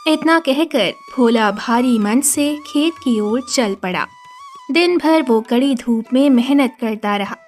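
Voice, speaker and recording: High-pitched, slow female storyteller. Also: a little mellow, soft background music. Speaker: narrator. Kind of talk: scripted children's story narration.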